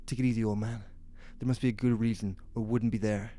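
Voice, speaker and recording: A man's voice: on the low side, level tone, fast, noisy background, natural voice. A man playing a dismissive, unbothered small-town businessman in an audio drama, offhand and breezy.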